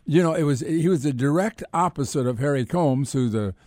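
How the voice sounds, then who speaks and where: An American man co-hosting casual sports radio talk, halting and repeating himself as he goes. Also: a little high, darkish tone, dry recording, studio quality. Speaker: radio show co-host.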